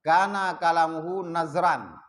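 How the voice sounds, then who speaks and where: A man with an Acehnese accent teaching a religious study group, slowing down to chant Arabic passages and asking listeners rhetorical questions. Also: a little high, fairly bright tone, in a large hall. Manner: mixes plain explanation with slow chanted Arabic readings; asks the audience rhetorical questions. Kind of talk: kitab study lesson. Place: prayer hall.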